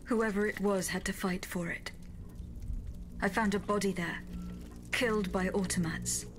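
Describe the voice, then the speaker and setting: A woman voicing a courteous female automaton bodyguard in a video game, speaking in a measured, formal and restrained way. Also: medium pitch, steady tone, soft background music, natural voice. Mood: composed, formal. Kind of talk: video game cutscene acting.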